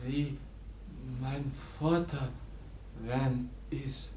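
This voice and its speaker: A man playing a bewildered youth who can barely speak, in halting phrases learned by rote. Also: medium pitch, fairly even intonation, mellow, echoey room, a little hiss or hum. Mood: dazed, halting.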